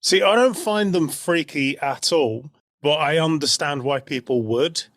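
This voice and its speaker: A man's voice: fairly high pitch, a little slow, fairly bright tone, dry recording, studio quality. A man talking casually as a podcast co-host about a TV show.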